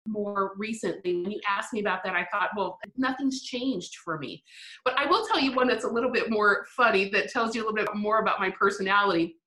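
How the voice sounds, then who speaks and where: A middle-aged woman being interviewed, speaking in an animated, reflective way and laughing often. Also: mid-range voice, brisk, reverberant, low-quality recording. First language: English. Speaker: guest. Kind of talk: interview conversation.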